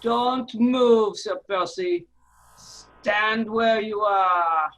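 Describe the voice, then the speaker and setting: A woman's voice: on the high side, extremely slow, fairly bright tone, exaggerated voice. A woman playing a cold, menacing male French revolutionary agent in an amateur radio play, clipped and coolly formal. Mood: triumphant. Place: remote virtual table read.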